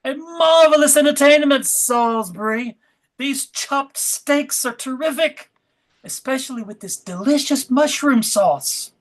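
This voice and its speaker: A man's voice: extremely high pitch, unhurried, pitched above the performer's own voice. A man voicing a pompous, hearty English nobleman in a sketch comedy table read. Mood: jovial, pompous.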